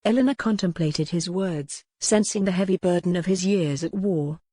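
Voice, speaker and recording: A woman's voice: fairly low pitch, normal speed, mellow, husky, dry recording, studio quality. A woman narrating a scripted historical romance audiobook.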